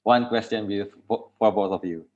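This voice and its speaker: Indonesian guy hosting online chat, kinda low voice, rewording questions, muffled lofi call sound. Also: fairly even intonation, normal speed, a little mellow. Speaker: host. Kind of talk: moderating an online discussion. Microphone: video call. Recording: dull lo-fi online call audio.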